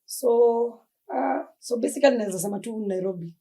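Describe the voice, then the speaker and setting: A woman's voice: on the high side, brisk. A young Kenyan woman with a Kenyan accent, a podcast guest in casual chat, easily mixing slang and English. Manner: relaxed, mixes Swahili slang and English.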